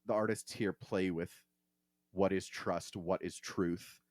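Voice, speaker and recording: Low-voiced man hosting a podcast. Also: steady tone, slow pace, no echo, clean studio sound. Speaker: podcast host. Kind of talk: casual podcast discussion.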